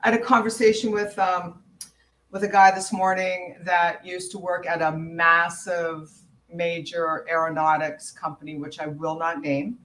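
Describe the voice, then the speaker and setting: A woman's voice: lowish voice, level tone, unhurried, a bit bright, slight background noise, poor audio. A woman hosting an interview, talking in a reflective way and thinking aloud. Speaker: host. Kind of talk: conversational interview.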